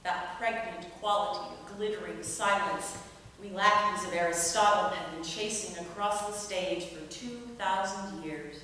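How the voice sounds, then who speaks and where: A woman's voice: on the low side, a bit bright. A woman performer reading aloud and speaking to an audience at a live showcase. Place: reverberant indoor performance space. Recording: echoey hall, far mic, faint noise.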